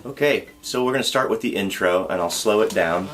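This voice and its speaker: A man teaching a song on guitar, explaining step by step in a patient, relaxed way and counting beats aloud. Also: lowish voice, brisk, slight background noise. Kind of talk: guitar tutorial. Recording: loud guitar under the voice. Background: acoustic guitar playing.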